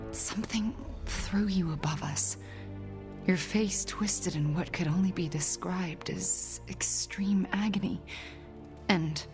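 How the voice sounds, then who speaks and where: An actress playing an uneasy, guarded ally in a video game, speaking hesitantly with hushed phrase endings. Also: female voice, lowish voice. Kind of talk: video game cutscene acting.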